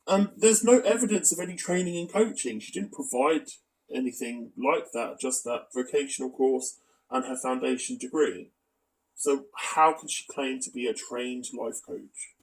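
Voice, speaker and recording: A man's voice: mid-range voice, normal speed, far from the mic, a little hiss or hum, rough recording. A man giving critical, explanatory commentary as a video commentator.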